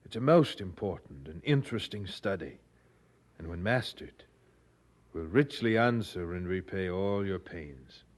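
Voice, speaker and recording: An actor playing a zealous, earnest English preacher and husband in a radio drama, speaking with preacherly conviction. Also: male voice, sits low, animated, measured pace. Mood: solemn, earnest.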